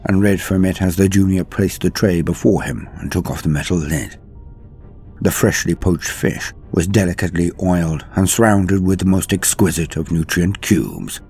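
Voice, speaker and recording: A man with a low, husky voice reads a dramatic military science fiction tale. His narration is scripted and measured, with music playing behind him. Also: dark tone. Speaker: narrator and storyteller.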